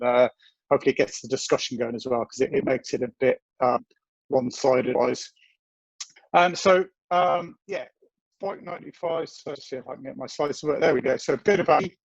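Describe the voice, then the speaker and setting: A man's voice: medium pitch, gravelly, husky, dry recording. A man in his forties giving a technical talk over a video call, rambling and self-deprecating with many fillers. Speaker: guest presenter. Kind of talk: technical presentation. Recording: dull lo-fi video call audio.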